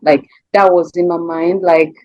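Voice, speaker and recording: A woman's voice: on the low side, steady tone, a little slow, a little mellow, dry recording, highs cut off. A woman from Rwanda with a Rwandan accent answers interview questions as a singer, searching for words and repeating phrases in a conversational way.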